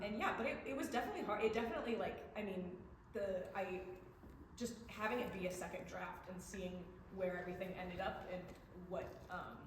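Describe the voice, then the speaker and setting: A young woman answering questions as a playwright in a casual, hesitant, think-aloud way. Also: fairly low pitch. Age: young adult. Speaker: student playwright. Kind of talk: casual post-show Q&A answers. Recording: very echoey room, faint background noise.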